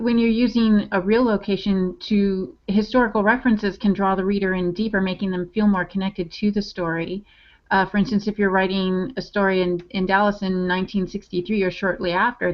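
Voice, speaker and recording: A woman with a mid-pitched voice talks conversationally as a writer co-hosting a podcast. She explains her points over slightly muffled, lo-fi audio. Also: steady tone, a little fast. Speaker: novelist and podcast co-host. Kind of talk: conversational discussion of writing.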